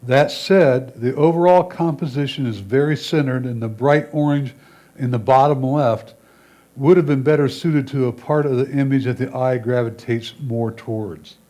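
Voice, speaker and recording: A man's voice: medium pitch, moderate pace, mellow. A man reading written photo critiques aloud at a club competition. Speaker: reader of judges' critiques.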